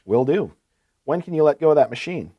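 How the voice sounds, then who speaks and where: A man's voice: a little high, animated, a little fast, breathy edge. A man playing a courteous, attentive equipment salesman in a scripted business phone call, asking probing questions. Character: courteous, eager male heavy-equipment salesman.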